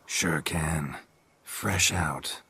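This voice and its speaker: A stoic fantasy game mercenary with a very low, extremely husky voice. He talks in terse, deadpan phrases with cool menace. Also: very slow, full character voice. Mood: coolly menacing. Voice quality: gravelly, very husky. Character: gruff, stoic monster-hunting mercenary, coolly menacing. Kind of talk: dramatic video game cutscene acting.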